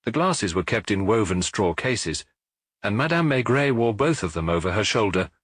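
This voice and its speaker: Guy reading an audiobook, low husky voice, really lively delivery, dry close sound. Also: no room sound. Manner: expressive, measured storytelling. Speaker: audiobook narrator. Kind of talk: scripted audiobook narration.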